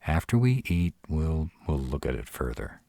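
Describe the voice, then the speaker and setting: Casting: male actor as gruff tsundere were-dragon boyfriend, animated swings in pitch, close dry sound, tender and guarded, romantic audio drama. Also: no echo, heavily acted. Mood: casual, caring. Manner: tsundere, teasing yet caring, hesitant pauses. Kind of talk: romantic fantasy audio roleplay, speaking to the listener.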